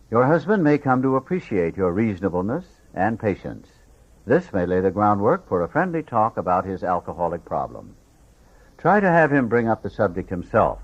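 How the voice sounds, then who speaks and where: A man reading a book aloud as scripted audiobook narration. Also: lowish voice. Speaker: audiobook reader. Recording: dull, lo-fi audio.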